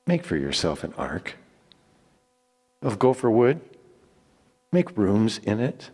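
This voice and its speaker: A man's voice: lowish voice, wildly swooping pitch, takes it slowly, a little mellow, slightly rough, heavily acted. A man voicing God in a dramatic Bible reading, giving grand, declamatory commands with solemn authority. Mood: grave, hushed. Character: God, mighty male deity commanding Noah with solemn authority. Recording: long echoing hall reverb.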